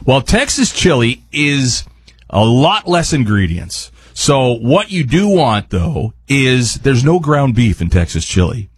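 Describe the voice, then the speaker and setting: A man's voice: medium pitch, sing-song, slow, slightly rough, no echo. A man, a radio chef explaining a recipe in a folksy, informal, rambling way. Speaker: resident chef and radio host. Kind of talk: casual chat explaining a recipe.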